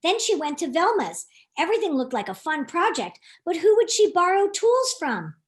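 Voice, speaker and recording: High-voiced, very animated woman reading storytime. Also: normal speed. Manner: expressive, asks the young listeners questions. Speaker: author reading her own book. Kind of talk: animated children's storytime narration.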